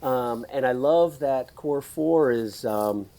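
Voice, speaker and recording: A man hosting a podcast in a casual, rambling interview chat, his voice raspy from screaming at a concert. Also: medium pitch, slow, dark tone. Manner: chatty, rambling, laughs often. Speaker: podcast host.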